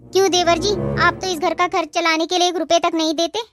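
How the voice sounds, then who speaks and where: A woman playing a sharp-tongued, bossy young sister-in-law in a cartoon moral story, with a sarcastic, scolding manner. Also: very high voice, level tone, a little fast, over music. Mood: scornful.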